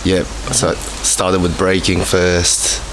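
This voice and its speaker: Deep-voiced laid-back Australian man. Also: monotone, slow pace. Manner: laid-back, laughs often, short answers. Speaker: podcast guest, dance teacher. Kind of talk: casual interview chat. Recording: background noise.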